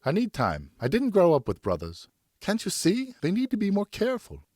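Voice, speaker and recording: A male narrator plays an upset French wife and mother in a historical audiobook. The voice is lifted high and swings widely as she scolds and pleads, indignant and emphatic. The sound is close and dry. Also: huge pitch swings, normal speed, darkish tone, dry recording, exaggerated voice. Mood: pleading. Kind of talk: audiobook character acting.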